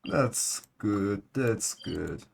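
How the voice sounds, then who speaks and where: A man's voice: on the low side, lively intonation, very slow, gravelly, noisy background. A man giving casual gameplay commentary, thinking aloud and often exclaiming. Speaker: gaming streamer. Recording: game music behind voice. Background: game music.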